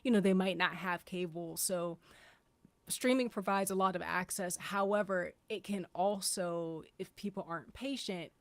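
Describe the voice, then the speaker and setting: A woman's voice: fairly low pitch, moderate pace, no echo. A woman explaining her views colloquially in a casual panel discussion.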